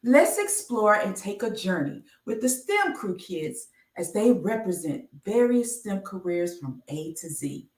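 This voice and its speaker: A woman reading a children's picture book aloud on a live stream, enunciating deliberately like a teacher. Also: medium pitch, sing-song. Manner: slow, deliberate enunciation with teacherly announcements of each letter. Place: indoor room, live stream. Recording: roomy, echoey, distant microphone.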